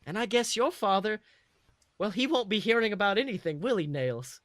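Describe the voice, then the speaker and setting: A man acts out a petulant schoolboy bully from a fantasy tale. The voice is very high, sharp and dry. He sneers and pouts like someone used to getting his way. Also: lively intonation, normal speed, dry recording. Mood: taunting. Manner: pouting, taunting.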